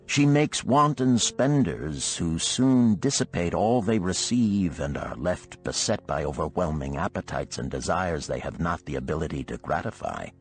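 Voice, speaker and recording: A man voicing a deep, grave ancient sage. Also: expressive, normal speed, hardly any pauses. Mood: stern, instructive. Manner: grave, formal, didactic. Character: wealthy, wise ancient Babylonian man, lecturing old friends. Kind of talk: storybook character reading.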